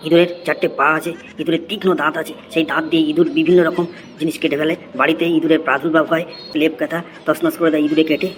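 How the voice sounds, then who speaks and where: A man's voice: a little high, slight background noise, natural voice. A man voicing an eager schoolboy in a comedy cartoon, reciting essays by rote. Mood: rote, insistent.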